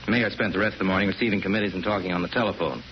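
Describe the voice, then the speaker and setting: A man narrating a 1940s radio drama as a wry, urbane storyteller with a measured, deliberate delivery. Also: deep voice, fairly even intonation, natural voice. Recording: muffled, hissy 1940s radio broadcast.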